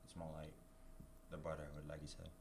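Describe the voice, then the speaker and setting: Soft-spoken, low-voiced young man. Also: monotone, a little fast, gravelly, slight background noise. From Haiti. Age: young adult. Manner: quiet, short phrases trailing off. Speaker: student soccer captain. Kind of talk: casual interview answers. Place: college studio.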